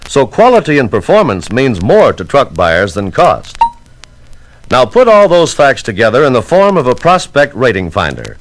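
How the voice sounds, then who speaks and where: Animated American man narrating 1960s sales training. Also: medium pitch, low-quality recording.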